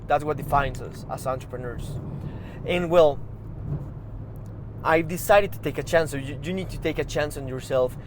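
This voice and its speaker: A man with a Mexican Spanish accent hosts a motivational podcast in English, his second language, with a somewhat high voice. He talks earnestly and urges listeners on, stumbling and repeating words as he goes. Street noise and cars are clearly heard behind him. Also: moderate pace, pauses often. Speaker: podcast host.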